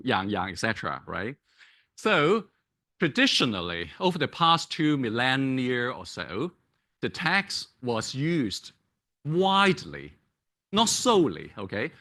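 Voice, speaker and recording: A man whose first language is Cantonese gives a public lecture, pausing on many fillers and restarts and checking in with "right?". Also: mid-range voice, sharp tone. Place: lecture hall.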